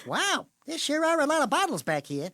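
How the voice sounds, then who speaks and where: A man's voice: very high-pitched, huge pitch swings, fairly quick, pushed and bright, no echo, studio quality, full character voice. A man voicing a cocky, wisecracking little furry animal sidekick in a video game, with brash hustler patter. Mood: tipsy, giddy. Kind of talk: cartoonish video game acting.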